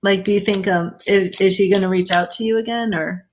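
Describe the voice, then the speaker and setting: A woman reading tarot cards on a live stream. She chats casually and thinks aloud, and her voice is getting worn and a little hoarse so she keeps clearing her throat. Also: mid-range voice, steady tone, fairly quick, slight background noise. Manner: chatty, thinking aloud, with small laughs. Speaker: tarot reader.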